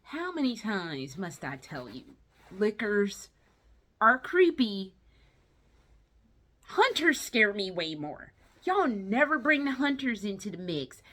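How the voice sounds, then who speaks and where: A woman's voice: mid-range voice, sing-song, slow pace, pauses often, a bit bright. A woman giving a casual, ranting movie review as a vlogger, blunt, exasperated and emphatic, swearing heavily. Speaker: video game and movie vlogger.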